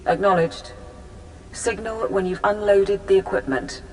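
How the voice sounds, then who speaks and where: A man playing a dutiful technical support officer in a video game, brisk, clipped and businesslike. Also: high voice, fairly even intonation, slight background noise, rough recording. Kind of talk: video game cutscene acting.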